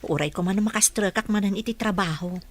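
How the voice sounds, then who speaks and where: A woman's voice: mid-range voice, fast talker, no room sound, close to an everyday voice. A woman acting as a struggling, caring young mother in a dramatised audio story. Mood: worried.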